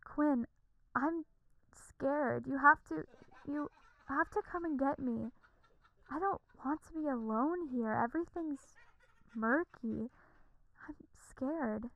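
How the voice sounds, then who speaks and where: A woman playing a frightened sister lost in a dream realm in an audio drama, halting, fragile and pleading. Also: sits high, creaky, no room sound, heavily acted. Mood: scared, pleading. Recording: muffled, dull, dry sound.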